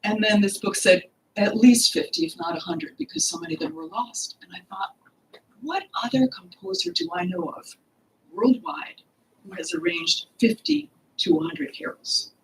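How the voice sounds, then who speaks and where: An older woman speaks into a podium microphone in a library hall, her voice slightly gravelly. She talks earnestly about her work, stopping often to search for words. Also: medium pitch, slight background noise. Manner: earnest and expressive, with frequent pauses and fillers. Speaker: author presenting her book. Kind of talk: lecture talk at a book launch. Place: library hall with bookshelves, indoors.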